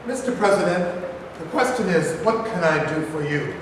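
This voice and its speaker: A man's voice: high voice, expressive, moderate pace. A man in a staged reading playing a distinguished, deferential government secretary addressing his president. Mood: courteous, reassuring. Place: large room at a live staged reading. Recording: very echoey hall, far off, faint noise.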